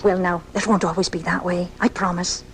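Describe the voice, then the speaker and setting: A woman's voice: fairly low pitch, level tone, brisk, slight background noise, close to an everyday voice. A woman playing a kind, encouraging young schoolteacher in a 1980s live radio drama, recorded off the air at home. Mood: gently reassuring.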